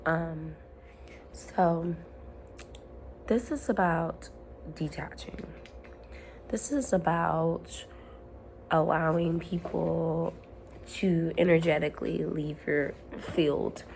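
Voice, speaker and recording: A woman at home, hoarse while her voice comes back, giving an earnest, rambling motivational talk to camera with frequent ums and restarts. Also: low voice, fairly even intonation, drawn-out words, long pauses, a little mellow, slight background noise, low-quality recording. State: hoarse, recovering from lost voice. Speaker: self-help vlogger.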